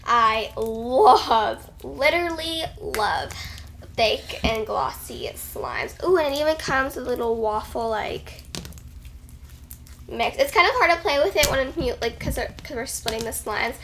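High-pitched gushing young teenage girl. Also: big pitch swings, unhurried, a bit bright. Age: young teen. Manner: enthusiastic, gushing. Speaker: vlog host reviewing slime. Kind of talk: casual chatty review to camera. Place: carpeted bedroom, indoors. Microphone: camera a few feet away. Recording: slightly noisy room recording with clicks.

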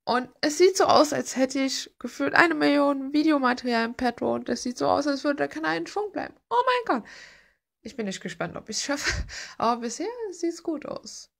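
Young woman, creaky voice, chatting casually. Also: sits high, expressive, normal speed, fairly bright tone. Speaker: art vlogger. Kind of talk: casual chat, voice-over to art process video.

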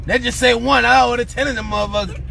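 A man plays a brash, foul-mouthed drive-thru customer in a high, forceful voice with a gravelly edge. It is an improvised prank caught on a phone inside a car. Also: fairly even intonation, quick pace, heavily acted. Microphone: handheld phone. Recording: faint noise, poor phone recording.

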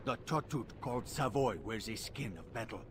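An actor playing an earnest, reverent alien warrior scout, declaiming in clipped, stilted English for a video game. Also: male voice, mid-range voice, lively intonation, normal speed, background noise, lightly acted. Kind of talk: video game dialogue acting.

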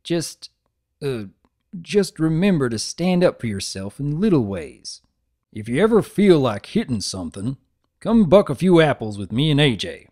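A man's voice: fairly high pitch, big pitch swings, moderate pace, a little mellow. A man voicing a kind, laid-back male farm pony with folksy, plain speech in a storybook reading. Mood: shaken.

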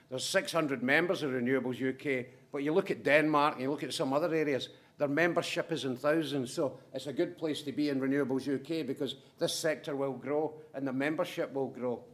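Brisk man giving a talk. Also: mid-range voice. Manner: brisk, punchy short statements with asides. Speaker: conference speaker from a manufacturing firm. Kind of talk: conference presentation.

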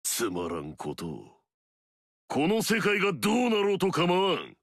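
A scornful warrior who craves battle. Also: male voice, fairly high pitch, huge pitch swings, measured pace, fairly bright tone, no room sound, studio quality, full character voice. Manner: curt, scornful, commanding. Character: arrogant mightiest male warrior, proud and battle-hungry. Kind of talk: video game story dialogue.

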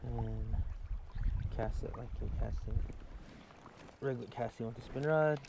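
Relaxed man explaining fishing outdoors. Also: mid-range voice, a little slow, slight background noise. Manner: relaxed, explains step by step with fillers. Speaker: fishing vlogger. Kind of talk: casual how-to narration while fishing. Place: outdoors standing in a river.

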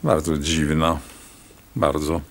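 An actor playing a haughty, menacing town doctor in a radio play, speaking in a condescending, ominous way. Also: male voice, extremely low pitch, level tone, slow pace, exaggerated voice. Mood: ominous, sly.

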